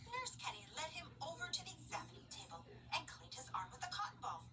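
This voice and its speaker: A woman's voice: very high voice, huge pitch swings, quick pace, loud music behind the voice. A woman storyteller expressively reading a children's picture book aloud. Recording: noisy, distant home microphone.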